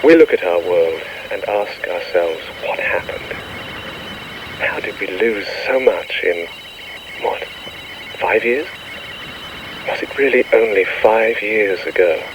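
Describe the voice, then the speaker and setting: An actor playing an earnest radio news reporter in a radio drama. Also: male voice, on the low side, slow pace, pauses often, far from the mic, lo-fi sound, noisy background. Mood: weary, grave.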